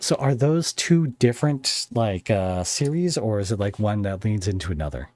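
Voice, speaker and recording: A man's voice: lowish voice, animated, moderate pace, a little mellow. A man hosting a casual podcast interview, rambling a little as he searches for words.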